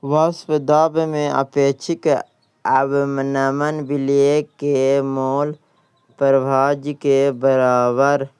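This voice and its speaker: A man teaching exam prep, reading out practice questions and deliberately repeating each answer. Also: mid-range voice, a little mellow, smooth, no room sound.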